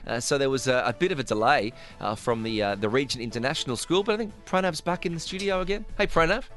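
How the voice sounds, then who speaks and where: A man's voice: medium pitch, fast, a bit bright, faint music behind. A man co-hosting a sports radio show, rambling and full of fillers. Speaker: radio host. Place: radio studio.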